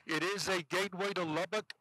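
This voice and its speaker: Older man, high bright voice. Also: fairly even intonation, a little fast, no echo, poor audio. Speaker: city councilman. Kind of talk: prepared public remarks. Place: outdoors in an open field. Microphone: podium microphone.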